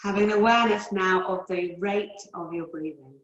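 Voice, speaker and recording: A woman yoga teacher leading guided relaxation and breathing in a calm, soothing, unhurried way with long pauses. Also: medium pitch, muffled recording. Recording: roomy, echoey indoor sound.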